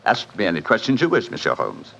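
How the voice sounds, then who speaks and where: An actor playing a suave, fatalistic French casino manager in a 1940s radio drama, courtly and theatrical, with a French accent. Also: male voice, lowish voice, huge pitch swings, quick pace, vocal fry, a bit bright, a little hiss or hum, heavily acted. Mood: courtly, guarded. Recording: muffled vintage 1940s radio broadcast.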